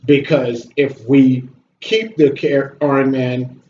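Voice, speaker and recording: A man's voice: medium pitch, fairly even intonation, slow pace, mellow. Blunt man reviewing in an echoey room. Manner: blunt, complaining, sweary. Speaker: video host and movie reviewer. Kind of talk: casual opinionated movie review chat. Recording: roomy echo, dull audio, slight noise.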